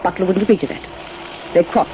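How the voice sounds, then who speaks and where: A woman's voice: on the low side, fairly even intonation, very fast, mellow, natural voice. A woman acting a greedy, murderous wife in a 1940s radio drama, with an Australian accent. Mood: defiant. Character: cold, greedy, murderous wife, a scheming villainess. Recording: dull, noisy 1940s radio recording.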